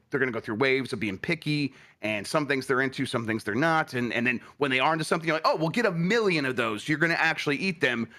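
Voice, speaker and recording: Fast, bright-voiced, blunt man. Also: medium pitch. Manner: blunt, profane, rambling. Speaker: podcast panelist. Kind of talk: casual chat.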